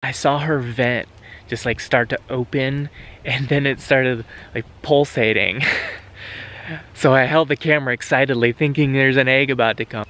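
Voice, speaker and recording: A man's voice: medium pitch, steady tone, moderate pace, a bit bright. A man in his thirties vlogging about his homestead, talking earnestly to a camera held at arm's length in an open pasture. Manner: earnest, reflective, talking while walking outdoors. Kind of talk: casual vlog to camera. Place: open pasture outdoors, rainy day.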